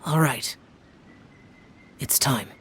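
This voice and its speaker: Reserved, aloof young woman, very low and slow, soft-spoken. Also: slight background noise, heavily acted. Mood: quiet. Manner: soft, hushed, slow and composed. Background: game music.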